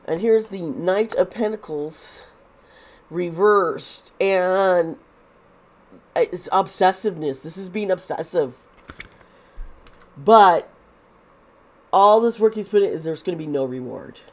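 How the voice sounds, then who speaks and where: Woman, tarot reader, stuffy nose, losing her voice, low-ish pitch, very slow, rambling restarts. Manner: rambling with many restarts and fillers. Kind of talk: casual tarot reading to camera.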